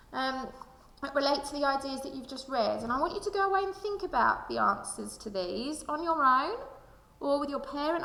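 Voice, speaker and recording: A woman's voice: high-pitched, gravelly, bright, forceful tone. Woman reading aloud in an echoey room. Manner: addresses young listeners directly. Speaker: primary school teacher reading aloud to children. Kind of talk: expressive children's storybook read-aloud with reflective questions. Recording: echoey room with long reverb.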